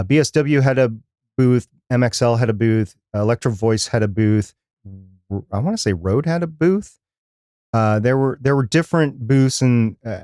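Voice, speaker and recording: A man's voice: fairly low pitch, a little mellow, dry recording. Casual middle-aged man, dry close sound. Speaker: podcast co-host. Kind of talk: casual conference recap on a live chat show.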